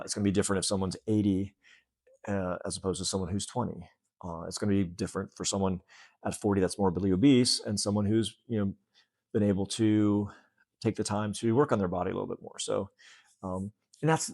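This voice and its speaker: A man's voice: fairly low pitch, fairly even intonation, fairly quick, a little mellow, no echo, clean studio sound. A man in his late fifties talking earnestly and casually as an interview guest, often breaking off to restart. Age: late 50s. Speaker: fitness center owner, interview guest.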